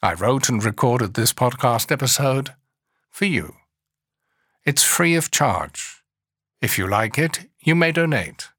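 A man guiding a scripted relaxation meditation in a calm, soothing, measured way, leaving long pauses. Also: medium pitch, dry recording, clean studio sound. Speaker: meditation guide, podcast host. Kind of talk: guided yoga nidra relaxation meditation, scripted narration.

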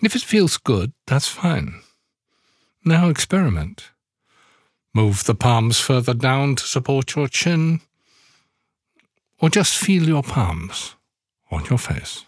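A man leading a guided yoga nidra meditation, giving soothing instructions slowly with long pauses. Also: mid-range voice, big pitch swings, husky, no room sound, clean studio sound.

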